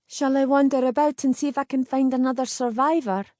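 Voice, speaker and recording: A woman's voice: high-pitched, steady tone, brisk, a little mellow, no room sound, clean studio sound, voice pushed up, natural voice. A woman voicing a timid young girl cousin with an anxious, questioning manner.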